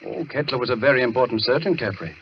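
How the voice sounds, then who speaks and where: An actor playing an earnest, troubled sanitarium doctor who reasons patiently but anxiously in an old radio drama. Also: male voice, medium pitch, fast, close to an everyday voice. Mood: grave, explaining. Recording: muffled, noisy vintage radio recording.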